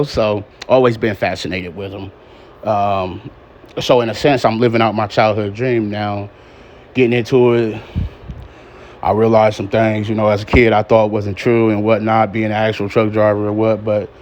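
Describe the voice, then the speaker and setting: A man in his thirties chatting casually and plainly as he drives a truck, like a vlogger on the road. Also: fairly low pitch. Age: 30s. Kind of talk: casual chat while driving. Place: inside a truck cab on the road. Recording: steady road and engine noise. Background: truck cab driving noise.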